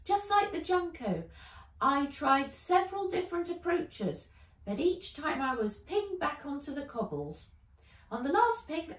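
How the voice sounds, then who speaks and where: A woman's voice: very high-pitched, echoey room, distant mic, pitched above the performer's own voice, rough recording. A woman voicing a surveillance robot servant in a children's storybook, giving precise, formal reports to its mistress.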